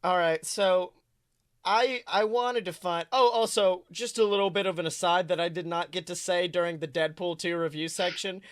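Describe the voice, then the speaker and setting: A podcast co-host chatting casually and reading fan fiction aloud in an animated, wry way, often self-interrupting. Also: medium pitch, normal speed, no room sound, clean studio sound.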